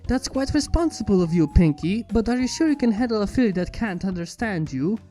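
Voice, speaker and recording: A woman's voice: mid-range voice, expressive, fairly quick, dark tone, lightly acted. A woman voicing a studious, earnest pony princess with a precise, formal, inquisitive manner in a fan fiction story reading. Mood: concerned, curious. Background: background music.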